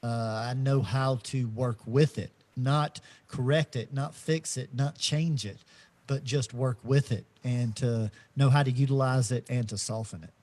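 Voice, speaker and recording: A middle-aged man co-hosting a podcast, talking slowly and reflectively in a casual spiritual chat, with many fillers and restarts. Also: medium pitch, steady tone, darkish tone. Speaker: podcast co-host.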